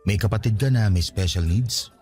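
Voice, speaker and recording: An actor playing a sincere, patient, lovestruck suitor in a radio romance drama, with an earnest, tender delivery. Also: male voice, lowish voice, moderate pace, a little mellow, natural voice. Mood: concerned, questioning. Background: music.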